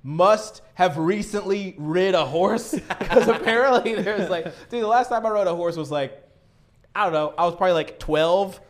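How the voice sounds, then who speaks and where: A man in his thirties, a podcast guest in a studio, chatting and joking with frequent laughter. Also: sits high. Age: 30s. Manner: laughs often, quick jokey asides. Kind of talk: casual comedic chat.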